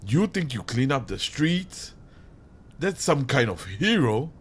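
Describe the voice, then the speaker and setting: A man playing a grieving, vengeful villain in an audio drama, with menacing, deliberate delivery and theatrical pauses. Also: mid-range voice, very animated, breathy edge, exaggerated voice. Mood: taunting menace. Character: grieving, vengeful male villain hijacking a radio broadcast. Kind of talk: dramatic audio roleplay monologue.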